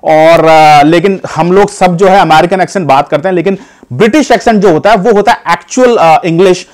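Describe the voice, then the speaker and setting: A man's voice: sits high, brisk. A man from India chatting casually to camera as a vlogger, calling viewers "guys" and filling his talk with hesitations and restarts. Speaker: vlogger giving advice.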